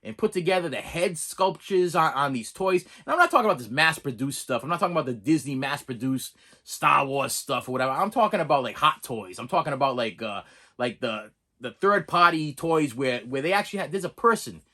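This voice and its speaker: Boston guy rambling about movies, kinda high bright voice, bounces all over, lots of restarts. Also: lively intonation, brisk. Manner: chatty, repeats and restarts words, laughs often. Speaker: movie review vlogger. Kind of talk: rambling casual monologue to camera.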